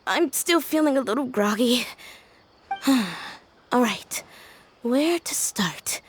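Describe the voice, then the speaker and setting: A wistful young scholar in a video game, still groggy from sleep. The high voice moves slowly and hesitantly, with sighs, over a faint ambient noise. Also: female voice, lively intonation. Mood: groggy, reminiscing. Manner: hesitant, sighing.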